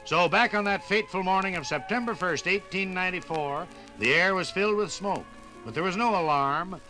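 An American man reads scripted narration for a 1950s radio documentary. His voice sits somewhat high, with dramatic, deliberate phrasing over clearly audible music. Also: bright, forceful tone, poor audio.

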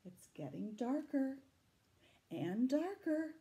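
A middle-aged woman reads a children's picture book aloud. Her voice is fairly high and soft-edged, with lively swings in pitch. She takes her time and speaks dramatically, pausing for asides and questions to young listeners. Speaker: library storytime reader. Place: small room indoors. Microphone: camera a short distance away.

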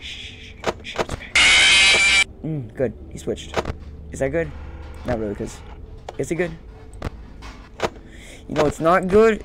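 A man's voice: medium pitch, background noise. A young man giving casual gameplay commentary, rambling and muttering as he talks to the game's characters. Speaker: gaming streamer. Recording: loud game music behind voice.

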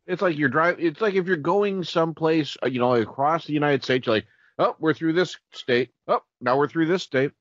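A man on a podcast panel chatting casually, with an animated, conversational way of telling things. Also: a little high, normal speed, dry recording. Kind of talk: casual chat. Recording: dry, slightly dull audio.

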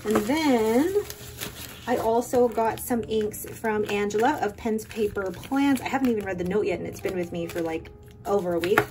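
A woman's voice: mid-range voice, normal speed, noisy background. Chatty woman narrating an ink swatch. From Filipino heritage. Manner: chatty, enthusiastic, rambling asides. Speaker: stationery channel host. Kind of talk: casual voiceover while swatching inks. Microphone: voice-over recorded separately.

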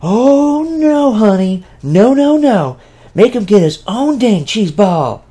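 A man's voice: sits high, lively intonation, slow, mellow, voice pushed up. A narrator voicing a flamboyant, cheeky young man who talks in a sassy, dramatic way.

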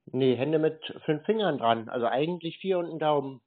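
A man in a phone conversation, answering in a deadpan, obtuse and drily contrary manner. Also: a little high, a little fast, slight background noise. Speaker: scam baiter playing along on a call. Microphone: telephone. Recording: thin, narrow phone line.

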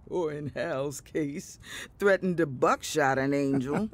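A woman's voice: low voice, takes it slowly. A woman playing a farmer's devout wife, folksy and teasing, in a radio drama. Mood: amused storytelling.

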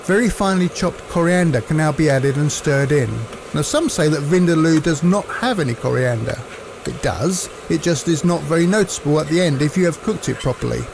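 A man, narrating cooking instructions in a measured, explanatory way. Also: on the high side, creaky, noisy background. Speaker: cooking presenter.

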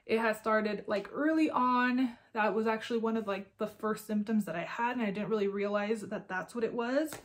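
A woman's voice: medium pitch, normal speed, few pauses. A young woman with a congested, nasal voice, slightly out of breath, chatting casually as a vlog host. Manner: chatty, many "like" fillers. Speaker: crafting podcast host. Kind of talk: casual personal vlog chat.

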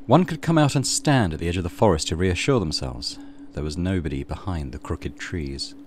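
A man's voice: low-pitched, animated, a little fast, slight background noise. A man hosting a let's play, reading story narration aloud with casual commentary.

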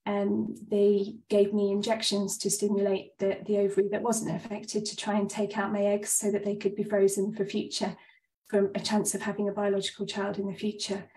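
Woman GP, 30s, congested, earnest webinar speaker; flat delivery, roomy distant sound. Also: mid-range voice. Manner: earnest, frequent ums. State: stuffed up, slightly breathless. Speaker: GP giving a presentation. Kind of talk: webinar talk. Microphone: video call.

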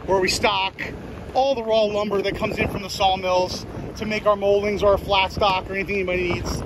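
Male mill guide, high pitch, informal walking-tour delivery, noisy machinery hum behind. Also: little pitch movement, fairly quick. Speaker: mill worker giving a tour. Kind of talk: casual explanatory walking tour. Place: large woodworking mill and lumber warehouse.

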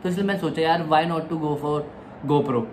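A young man from India vlogging casually at home, chatting excitedly as he unboxes a camera and explains each step. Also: a little high, unhurried, a little hiss or hum. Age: young adult. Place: room at home, indoors.

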